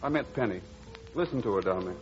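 An actor playing an earnest young stepson back from the navy, in 1940s radio acting style. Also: male voice, on the low side, lively intonation, fast, close to an everyday voice. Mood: casual, earnest. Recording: dull old radio recording, faint music.

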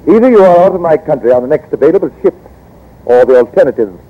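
An imperious, vain ruler with grand scorn. The pitch is highish and very animated, and the vintage radio recording is dull. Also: male voice, quick pace. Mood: scornful, threatening. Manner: grand, pompous. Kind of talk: old-time radio drama acting.

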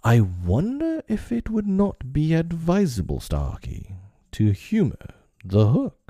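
A man's voice: deep voice, sing-song, slow, gravelly, darkish tone, no echo, clean studio sound, full character voice. A man voicing a villainous pirate captain in a storybook, theatrical and drawn out, with purring, mock-polite menace. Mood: silky menace.

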